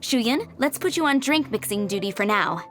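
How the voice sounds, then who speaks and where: A woman's voice: fairly high pitch, animated, normal speed, over music, lightly acted. A woman voicing a cheerful, breezy adult tavern owner who bosses her staff around, in a game. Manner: breezy, upbeat, firm.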